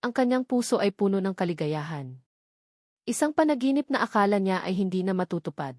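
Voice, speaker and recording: A woman's voice: medium pitch, darkish tone, no echo, clean studio sound. A woman reading a scripted romance story as its narrator.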